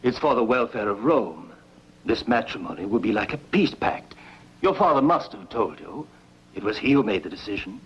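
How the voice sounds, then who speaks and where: Casting: male actor as a plotting Roman senator, raspy but measured persuader, slightly dull-sounding epic dub. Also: on the low side, lively intonation. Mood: grave, insistent. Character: smooth, scheming Roman politician. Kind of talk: dubbed sword-and-sandal film dialogue. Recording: muffled lo-fi dub.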